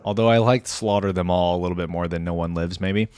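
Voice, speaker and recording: A man's voice: deep voice, fairly even intonation, fast, darkish tone, slight background noise. A man hosting a casual reaction video about game voice lines, chatting in a laid-back, conversational, amused way.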